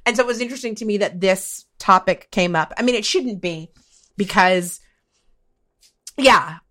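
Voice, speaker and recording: A woman's voice: medium pitch, a bit bright. A woman in her late forties talking casually but emphatically as a co-host in a podcast discussion. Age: late 40s. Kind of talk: casual conversation on politics.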